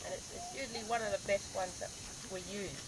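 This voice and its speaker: An Australian woman park ranger explaining things on a guided walk in the bush, unhurried and a little hoarse, pausing with ums and restarting her sentences. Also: medium pitch, fairly even intonation. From northern Australia. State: slightly hoarse, losing her voice. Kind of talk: outdoor guided demonstration. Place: outdoors in the bush. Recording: outdoor recording with clear natural background noise.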